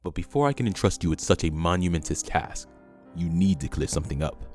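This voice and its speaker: A man playing an imperious, impatient, scheming official with a commanding, smooth-talking menace in an audio drama. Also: deep voice, animated, fairly quick, a little mellow, soft background music. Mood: scheming, persuasive. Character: imperious, impatient, scheming male government schemer with metal arm.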